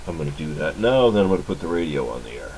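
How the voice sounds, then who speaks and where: A man giving a casual hands-on workbench demonstration, unhurried and half muttering to himself as he works. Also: a bass voice, very animated, a little mellow, slight background noise. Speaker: electronics repair hobbyist, channel host. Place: indoor electronics workbench.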